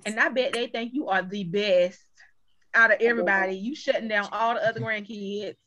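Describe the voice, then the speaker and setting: A woman's voice: medium pitch, steady tone, fairly quick, bright, forceful tone, muffled recording. A woman with an American accent chatting casually as a guest on a podcast. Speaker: podcast guest, voice cast member. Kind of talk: casual chat.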